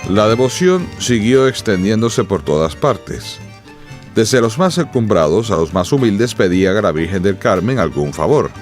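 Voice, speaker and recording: Deep, expressive male radio narrator. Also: moderate pace, soft background music. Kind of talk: solemn scripted radio drama narration.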